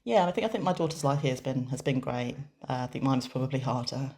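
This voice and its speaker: A woman interpreting a deaf guest's answers in the first person, measured, with frequent pauses and restarts. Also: a bass voice, animated, a little mellow.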